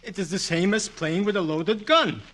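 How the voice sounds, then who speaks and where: An actor playing a kindly, crotchety old European professor of psychoanalysis in a classic radio drama, fussy and avuncular. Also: male voice, sits high, normal speed, a bit bright. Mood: grumbling. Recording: noisy, clicky old radio broadcast.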